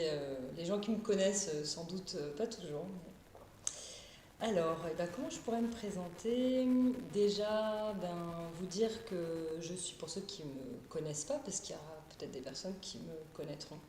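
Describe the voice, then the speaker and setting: A woman's voice: lowish voice, unhurried, darkish tone, slight background noise. Hesitant, shy French woman, echoey room. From Paris, France. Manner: hesitant, many fillers and restarts, shy. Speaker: art therapist, guest. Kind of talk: live-stream interview, casual.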